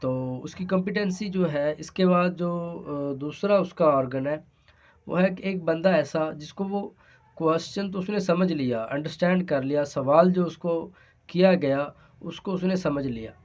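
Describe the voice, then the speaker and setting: A man's voice: fairly high pitch, a little slow, darkish tone. A man with a Pakistani accent giving an explanatory law lesson, speaking hesitantly and repeating his phrases. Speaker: law lecturer. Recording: dull, lo-fi sound.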